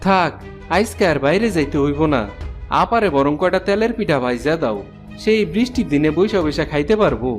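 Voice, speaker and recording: A man's voice: on the high side, expressive, a little fast, faint music behind, close to an everyday voice. A man playing a poor, humble village fisherman in a cartoon folk tale, speaking plain rustic Bangladeshi colloquial Bengali. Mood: resigned, homely.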